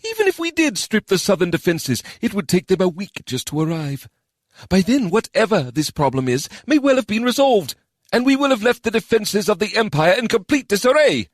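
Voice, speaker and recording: Brief: male narrator voicing an angry elven warrior lord, much higher than his own voice, loud and scoffing. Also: sing-song, brisk, no echo, studio quality. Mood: scornful. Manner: scoffing, raised voice.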